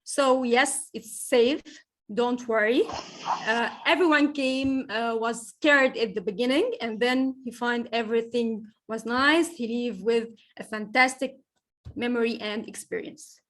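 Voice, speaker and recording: Tour guide lady, slightly high voice, calm and reassuring in plain english. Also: a little slow, poor audio. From Egypt. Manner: reassuring, simple direct English. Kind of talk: answering questions in a Q&A.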